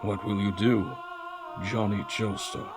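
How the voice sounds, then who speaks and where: A man playing a grave, persuasive president villain in a dramatic anime fandub, speaking in measured, formal, declamatory monologue. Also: lowish voice, steady tone, over loud music, lightly acted. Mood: grave, expectant. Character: male president villain, solemn, persuasive, grave, honor-bound vower. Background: dramatic orchestral soundtrack.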